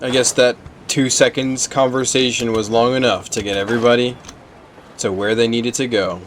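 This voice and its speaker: A man's voice: medium pitch, fairly even intonation, normal speed, slight background noise. A man giving casual, slangy commentary while playing a video game. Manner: slangy, informal. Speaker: gamer commenting on his playthrough.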